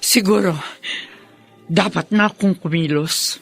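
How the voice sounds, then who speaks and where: A woman's voice: fairly low pitch, unhurried, vocal fry, slightly rough, soft background music, exaggerated voice. An actress playing a wronged, protective, vengeful mother in a Filipino radio drama, confrontational and dramatic. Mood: grim resolve.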